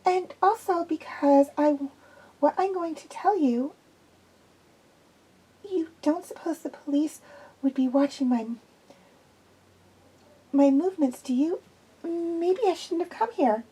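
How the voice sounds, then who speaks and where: A woman voicing a nervous, jumpy young woman who speaks haltingly. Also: high-pitched, warm tone, voice pushed up, poor audio. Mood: anxious. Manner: anxious, halting.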